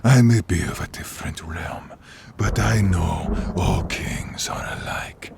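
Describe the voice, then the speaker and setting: A man voicing a contemptuous, menacing undead warlord with a gravelly, breathy rasp in a scripted fantasy roleplay. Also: deep voice, very noisy, exaggerated voice. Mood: bitter scorn. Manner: menacing, slow, theatrical. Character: contemptuous undead male warlord with a bitter grudge against knights.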